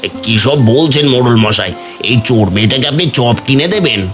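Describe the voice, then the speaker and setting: A man plays a suspicious, miserly cartoon shopkeeper. He talks in a mid-range pitch, gruff and scolding, like someone who never gives credit. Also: brisk, a bit bright, faint music behind, close to an everyday voice. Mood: indignant. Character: stingy, suspicious fritter seller, bullying man.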